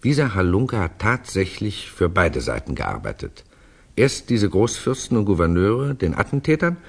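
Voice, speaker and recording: A man's voice: low voice, vocal fry, husky, heavily acted. A man acting in a radio drama as a wry chronicler reading out notes, with sardonic, pointed emphasis. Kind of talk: theatrical radio drama narration.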